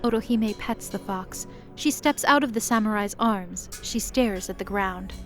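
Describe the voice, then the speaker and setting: A woman's voice: mid-range voice, unhurried, faint music behind. Woman narrating a fantasy audio drama.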